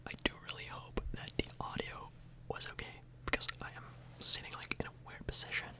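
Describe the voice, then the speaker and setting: A woman speaking in a breathy whisper, rambling casually for an ASMR video, close to the microphone and often tagging on questions. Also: a little fast. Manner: casual, meandering, frequent tag questions.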